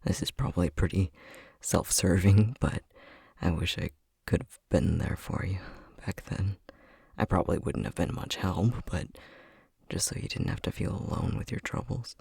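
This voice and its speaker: A man acting a husky, flustered low-voiced boyfriend. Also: normal speed, no room sound, studio quality, heavily acted. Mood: gentle, sympathetic. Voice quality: breathy, husky. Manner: hesitant, stammering, soft-spoken. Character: flustered, tender young boyfriend newly discovering magic powers. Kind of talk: ASMR roleplay acting.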